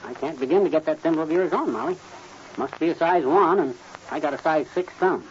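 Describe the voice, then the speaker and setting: Boastful, folksy American husband, 1950s radio comedy, rapid delivery, hissy old recording. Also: male voice, on the high side, animated, darkish tone, highs cut off. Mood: grumbling. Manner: folksy, tongue-twisting wordplay, pompous phrasing.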